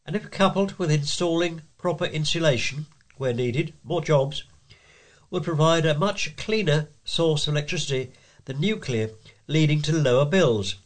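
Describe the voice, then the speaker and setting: A man reading letters and local items aloud as a volunteer news reader. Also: a little high.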